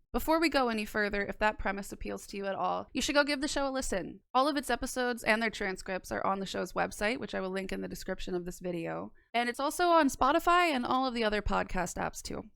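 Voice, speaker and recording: A woman's voice: medium pitch, animated, fast, vocal fry, few pauses, fairly bright tone, smooth, no room sound. A young woman narrating a review like a video essayist, conversational and wry, close to the mic. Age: young adult. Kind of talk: semi-scripted review narration with casual asides. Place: small indoor room. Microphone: close microphone on a boom arm.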